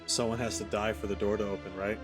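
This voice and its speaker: A man's voice: on the low side, level tone, fast, music in the background. A man reacting casually as he streams a video game playthrough. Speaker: gaming streamer.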